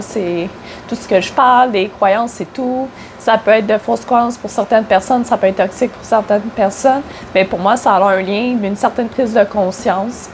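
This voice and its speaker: A woman's voice: medium pitch, a little hiss or hum. A woman with a Quebec French accent, talking casually in a vlog as a spiritual coach, slightly congested from a cold and filling her speech with hesitations. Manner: chatty, many fillers and restarts.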